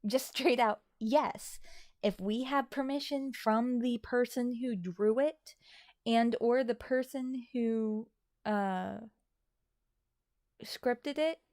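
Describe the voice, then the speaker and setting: A woman's voice: a little high, darkish tone, no room sound, studio quality. A woman co-hosting a casual livestream, chatting and answering questions from viewers. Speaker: co-host of a livestream.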